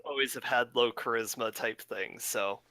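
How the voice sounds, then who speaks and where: A man's voice: medium pitch, moderate pace, pushed and bright, no echo. A man playing a tabletop game over a video call, explaining his character's backstory with self-mocking asides. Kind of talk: casual out-of-character chat.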